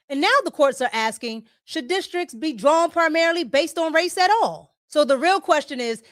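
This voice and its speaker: A woman's voice: sits high, no room sound, clean studio sound. An American woman giving opinionated political commentary as a host, blunt, mocking and emphatic in her delivery.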